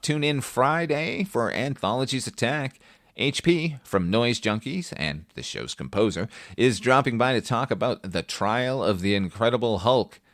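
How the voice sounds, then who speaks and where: A middle-aged man hosting a film review podcast, delivering scripted commentary with wry, deadpan humour. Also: lowish voice, no room sound, clean studio sound. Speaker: podcast host reviewing films.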